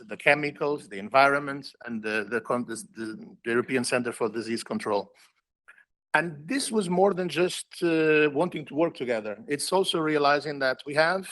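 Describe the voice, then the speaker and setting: A man's voice: mid-range voice, a bit bright. Man giving unscripted panel remarks. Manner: conversational, thinking aloud with restarts. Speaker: panel speaker from a food safety agency.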